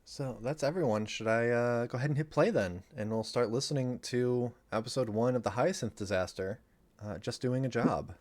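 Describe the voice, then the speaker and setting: A man's voice: mid-range voice, moderate pace, a little mellow. A man casually hosting a live stream commentary, explaining things in a hesitant, feeling-his-way manner. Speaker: commentary host.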